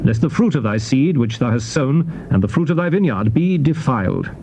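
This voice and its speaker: British man solemnly reading scripture, pitch swooping expressively. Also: expressive. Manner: measured, formal, declamatory delivery. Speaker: scripture narrator. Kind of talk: solemn dramatized Bible reading. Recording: dull noisy old cassette transfer.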